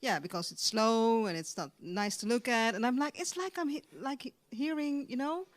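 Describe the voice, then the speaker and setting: A woman's voice: on the high side, normal speed. A woman moderating a panel, conversational and repeating words as she starts. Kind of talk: panel discussion remarks.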